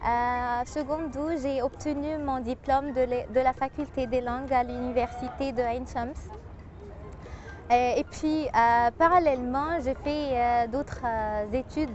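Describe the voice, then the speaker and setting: A young woman from Egypt, a French teacher whose first language is Arabic, answering interview questions with frequent pauses and fillers. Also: sits high, steady tone. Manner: hesitant, many pauses and fillers. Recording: dull lo-fi TV audio with faint music.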